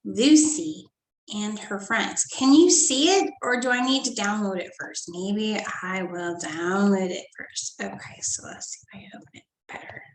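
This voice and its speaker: A woman teacher reading a storybook aloud to first graders in a sing-song, animated way, often dropping to soft whispered asides. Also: medium pitch, measured pace. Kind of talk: storybook read-aloud lesson for young children. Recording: echoey room.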